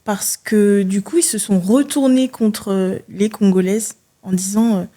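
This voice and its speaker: A young woman in her early twenties with a dark, relaxed voice at an average pitch. She is from France and talks casually as a podcast guest, feeling her way through her answers in informal phrasing. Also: unhurried. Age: early 20s. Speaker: podcast guest, judo athlete. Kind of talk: casual interview talk.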